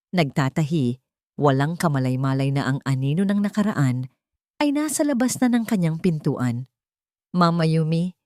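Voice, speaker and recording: A Filipino woman narrates a dramatic story. Her voice sits a little low but moves animatedly up and down. The recording is dry and close. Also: mellow, no echo.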